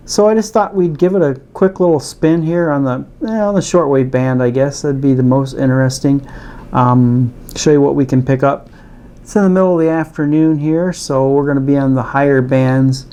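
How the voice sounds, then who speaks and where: A man's voice: medium pitch, mellow. A man casually demonstrating a gadget in an unscripted, easygoing, slightly hesitant way. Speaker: radio reviewer presenting a gadget. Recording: noisy home recording with radio static. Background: shortwave radio noise and static.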